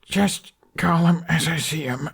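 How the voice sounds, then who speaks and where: A man voicing a defiant, sarcastic young Viking dragon rider in an audiobook, sharp and contemptuous. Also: a little high, measured pace, creaky, slightly rough, pitched above the performer's own voice, heavily acted.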